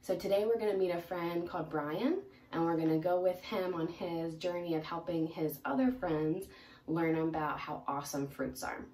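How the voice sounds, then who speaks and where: A young adult woman presenting a picture-book read-aloud in an expressive, emphatic way for young children. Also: fairly low pitch, normal speed. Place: small indoor room, seated in armchair. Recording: roomy, distant mic, faint noise.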